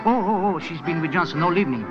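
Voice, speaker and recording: A man's voice: fairly high pitch, animated, fast, natural voice. A man acting an anxious, swooping hotelkeeper. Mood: flustered, pleading. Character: anxious, polite French hotelkeeper pleading with a friend. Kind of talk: 1940s radio acting. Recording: muffled old radio recording with music.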